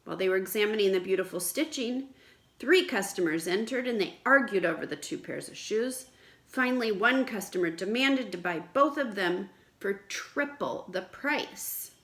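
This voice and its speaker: A woman teacher reading aloud to children in an expressive, explanatory way, with questions for the listeners. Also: mid-range voice, normal speed. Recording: echoey room.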